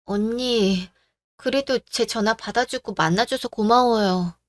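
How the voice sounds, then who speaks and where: A woman plays a friendly young sister-in-law in a radio drama. She is polite and eager. Her voice sits slightly high. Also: measured pace, dry recording, studio quality, natural voice.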